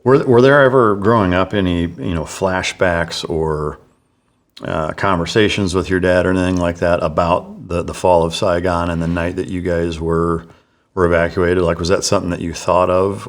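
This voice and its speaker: Low-voiced male podcast host; loose, rambling interviewer. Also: little pitch movement, moderate pace. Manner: long, rambling questions with many fillers.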